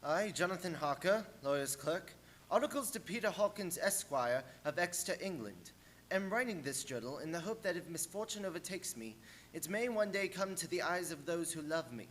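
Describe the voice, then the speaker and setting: Man playing earnest young clerk, radio-play narrator, formal storytelling, slightly high voice, noisy rumbling recording. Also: animated, moderate pace, fairly bright tone. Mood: grave, foreboding. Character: young English lawyer's clerk, earnest, recounting his journal.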